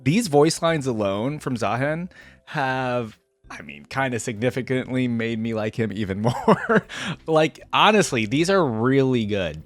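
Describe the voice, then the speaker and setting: Man, casual reaction commentary, informal conversational phrasing, medium pitch, dry recording, soft music behind. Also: expressive, a little slow, no echo. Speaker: reaction video host.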